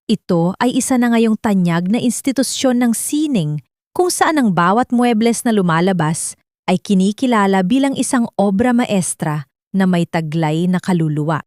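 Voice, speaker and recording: A woman reading a scripted dramatic story in a measured, sentimental, literary manner. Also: mid-range voice, a little mellow, dry recording, clean studio sound. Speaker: narrator of audio love stories.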